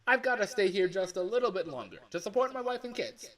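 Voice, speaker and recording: A man's voice: high-pitched, quick pace, fairly bright tone, voice pushed up. A man voicing a chirpy, chummy office coworker in an exaggerated, peppy phone-call voice line for a video game.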